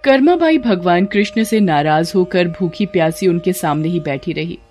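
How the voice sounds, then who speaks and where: A woman's voice: fairly low pitch, animated, moderate pace, darkish tone, faint music behind. A woman narrator reading a scripted devotional story.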